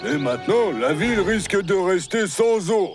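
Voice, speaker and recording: A man's voice: sits high, lively intonation, normal speed. A man voicing a strong, good-natured cartoon dinosaur, a questioning and slightly naive sidekick. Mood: earnest. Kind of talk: animated cartoon dub acting. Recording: music behind the dialogue.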